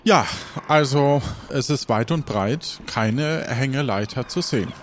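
A man narrating scenes as game master of an improvised role-play, measured and often pausing. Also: mid-range voice, slight background noise.

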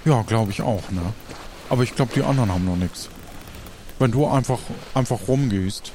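A man's voice: medium pitch, moderate pace, darkish tone, a little hiss or hum. A man casually improvising narration and banter as game master of an audio play. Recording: music behind the voice.

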